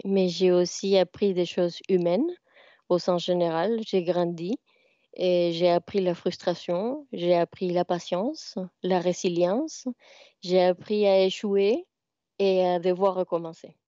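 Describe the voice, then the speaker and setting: A woman's voice: on the low side, steady tone, measured pace, warm tone, no echo, highs cut off. A woman in her thirties speaking fluently and articulately in a testimonial. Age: 30s. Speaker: former linguist, now data scientist. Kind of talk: testimonial interview.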